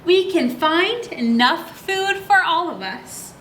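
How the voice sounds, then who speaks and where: A woman's voice: extremely high pitch, animated, slow, fairly bright tone, exaggerated voice. A woman voicing a band of tiny, boastful, bossy forest creatures in a children's picture book, with proud, emphatic declarations. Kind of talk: picture book read-aloud for young children. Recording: roomy echo, faint background noise.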